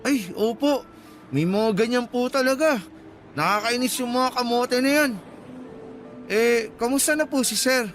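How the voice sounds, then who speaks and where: A polite young rider, very high voice. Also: male voice, unhurried, pauses often, a bit bright, faint music behind. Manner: courteous, deferential. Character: polite young male motorcycle taxi rider. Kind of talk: radio drama acting.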